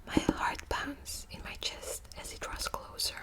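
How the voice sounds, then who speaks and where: A woman whispering close to the mic in an ASMR book video, hesitant with many ums and restarts, also reading passages aloud. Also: measured pace. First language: Dutch. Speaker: ASMR creator.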